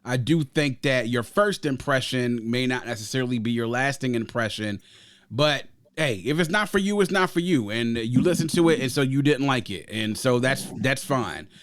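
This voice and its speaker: A man's voice: mid-range voice, lively intonation, normal speed, no echo. Laid-back male podcast host, dry sound. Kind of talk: casual music review chat.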